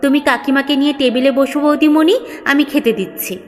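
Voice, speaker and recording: A cheerful, respectful cook woman from a family audio story, played by a woman narrator. She chatters eagerly in a high, emphatic voice, in long reverb over music. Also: a little fast, natural voice.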